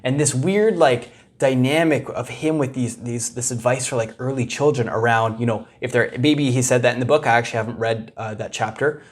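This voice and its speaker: A young man talking in a casual, loose way as he comments on a clip. He hesitates, restarts and laughs often, with a middle-pitched voice. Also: fairly quick, hardly any pauses. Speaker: commentator, video host.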